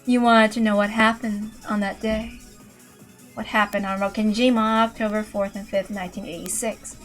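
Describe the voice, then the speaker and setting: A woman voicing a cruelly composed, imperious witch in a measured, condescending way for a visual novel reading. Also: mid-range voice, level tone, soft background music, natural voice. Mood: coolly taunting.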